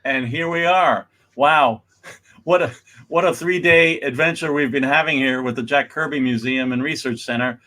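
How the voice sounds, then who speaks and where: Halting, informal male host. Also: mid-range voice, bright, forceful tone. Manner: halting, rambling delivery. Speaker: host, museum executive director. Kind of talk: informal event introduction.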